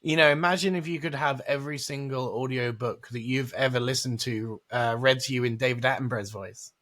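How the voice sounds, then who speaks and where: A man's voice: mid-range voice, brisk, a bit bright. A man co-hosting a podcast, talking emphatically and conversationally as he makes his points. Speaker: podcast co-host.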